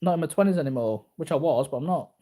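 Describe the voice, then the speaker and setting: A man in his early forties with a slightly high voice speaks off the cuff to his viewers. He rambles in a casual, boastful way, circling back and repeating his phrases. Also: lively intonation, quick pace, warm tone. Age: early 40s. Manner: repetitive, boastful. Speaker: martial arts vlogger.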